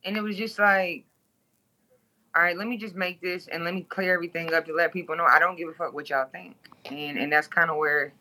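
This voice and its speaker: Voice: mid-range voice, fairly even intonation, fairly quick, sharp tone. A guest from Toledo, Ohio, speaking bluntly and candidly in an interview, swearing freely.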